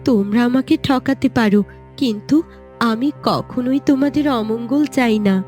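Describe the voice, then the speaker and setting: A woman voicing a gentle, selfless young village girl in a fairy-tale cartoon, meek and earnest. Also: a little high, moderate pace, faint music behind, natural voice. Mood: earnest pleading.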